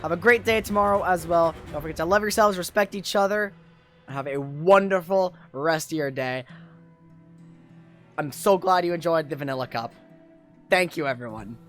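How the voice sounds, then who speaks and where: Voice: medium pitch, normal speed, a bit bright, soft background music. A tournament host with a voice wearing out from long talking, chatting casually and appreciatively to viewers on an esports livestream. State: losing voice after two days of talking. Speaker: tournament host and streamer caster.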